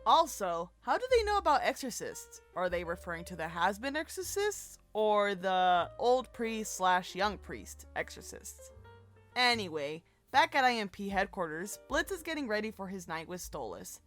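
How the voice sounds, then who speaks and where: A woman's voice: medium pitch, big pitch swings, normal speed, a bit bright, faint music behind. A woman co-hosting a fan breakdown, giving recap commentary in a playful, jokey way. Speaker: co-host of a fan breakdown show.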